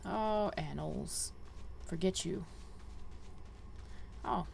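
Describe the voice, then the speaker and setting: A woman's voice: fairly low pitch, drawn-out words, vocal fry, darkish tone, slight background noise. A woman giving casual, chatty game walkthrough commentary, thinking aloud as she plays. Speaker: gaming video host.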